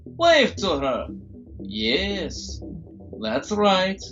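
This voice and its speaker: An actor playing a menacing doctor villain in an audio drama, theatrically courteous and falsely polite. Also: male voice, high voice, huge pitch swings, drawn-out words, lo-fi sound, heavily acted. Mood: quietly menacing. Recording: music under the voice.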